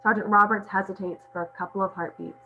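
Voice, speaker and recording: A woman's voice: mid-range voice, fairly even intonation, fast talker. A woman reading out stage directions as scripted narration at a table read. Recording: muffled audio with clear hum.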